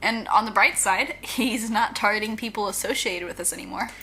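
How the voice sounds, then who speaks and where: A woman's voice: mid-range voice, fairly quick, bright, forceful tone, slight background noise, rough recording. A woman playing a brash, confident young supervillain in an audio drama, blunt and punchy.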